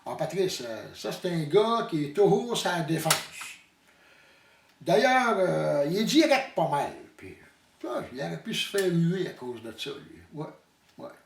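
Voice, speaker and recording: A man's voice: a little high, big pitch swings, measured pace, vocal fry, a little hiss or hum. A man with a Quebec French accent telling a comic hunting story in a folksy, hesitant way. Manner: folksy, hesitant, mixes up sayings. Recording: roomy echo, distant mic.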